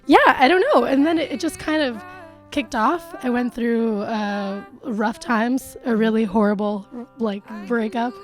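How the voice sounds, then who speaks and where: A woman in her twenties with a raspy voice, a musician talking sincerely and chattily in an interview. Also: on the high side, measured pace, soft background music. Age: 20s. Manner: sincere, chatty, laughs often. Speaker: musician guest. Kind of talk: casual interview talk.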